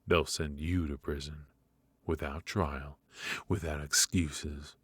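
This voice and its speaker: Man acting a very deep-voiced, smug mafia boss. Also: no echo, exaggerated voice. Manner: smooth-talking, teasing, deliberate with drawn-out pauses. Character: smug, menacing male mafia boss, courteous and ruthless. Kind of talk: ASMR roleplay acting.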